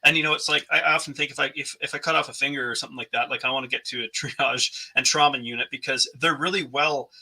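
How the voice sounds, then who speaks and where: A man's voice: mid-range voice, steady tone, quick pace, creaky, hardly any pauses, sharp tone, low-quality recording. A man hosting a podcast interview, talking in a thoughtful, searching way with frequent restarts. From North America. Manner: thoughtful, many restarts and fillers. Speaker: podcast host. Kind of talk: conversational interview.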